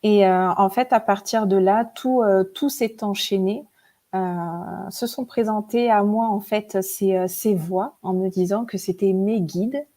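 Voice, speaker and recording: Chatty woman in her forties, mellow tone. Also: mid-range voice, steady tone, a little slow. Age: 40s. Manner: chatty, hesitant with many fillers, laughs often. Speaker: guest, spiritual author and teacher. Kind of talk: casual interview storytelling.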